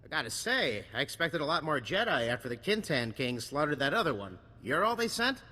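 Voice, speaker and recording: Sharp, bright wisecracking arms dealer. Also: male voice, on the high side, very animated, a little fast, long reverb tail, full character voice. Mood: slick, cocky. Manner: slick, sardonic salesman patter. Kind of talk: video game cutscene acting.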